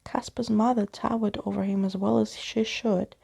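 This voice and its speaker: A soft-spoken woman reading a children's story aloud in an expressive ASMR bedtime style. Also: medium pitch, moderate pace, creaky, slightly rough. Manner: expressive, acts out the characters.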